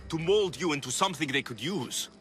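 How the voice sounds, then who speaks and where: A man's voice: a little high, animated, a little fast, fairly bright tone. A man playing an earnest, determined Greek mercenary in a dramatic video game, speaking with impassioned conviction. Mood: earnest, persuading. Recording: dramatic music behind.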